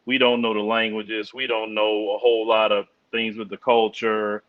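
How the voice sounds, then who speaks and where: A man's voice: on the low side, level tone, normal speed, slight background noise. A man calling into a livestream, polite and hesitant, restarting his sentences. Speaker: caller, fellow channel host. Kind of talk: call-in conversation. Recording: dull lo-fi call audio.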